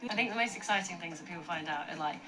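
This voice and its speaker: A young adult woman teasing her friend in a casual vlog chat, wry and quick. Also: on the low side, a crowd in the background.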